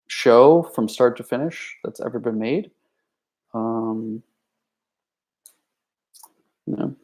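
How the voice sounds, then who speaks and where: Young male streamer, gravelly voice, loose rambling delivery full of fillers. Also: medium pitch, darkish tone. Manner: rambling, many fillers and restarts; reads viewer chat messages aloud. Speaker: youtuber. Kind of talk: casual livestream chat.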